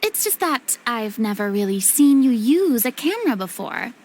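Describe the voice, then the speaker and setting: A woman playing a theatrical, easily flustered young lady in a video game, with an expressive manner. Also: fairly high pitch, moderate pace, background noise. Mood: questioning. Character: dramatic young woman, former idol, flustered, theatrical, sweet-toothed. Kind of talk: scripted video game dialogue. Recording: music behind the voice.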